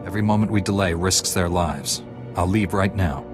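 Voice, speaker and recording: A stalwart knight of a space fantasy game, voiced by a male actor, grave and resolute. His voice is low and deep, with music clearly playing behind it. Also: normal speed, lightly acted. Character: stalwart, righteous male Jedi knight hero. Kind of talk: video game dialogue acting.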